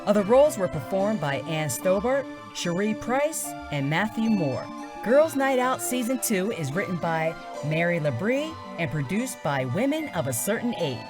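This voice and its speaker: A woman's voice: medium pitch, big pitch swings, moderate pace, over music. A woman announcer reading scripted credits and notices for a fiction podcast.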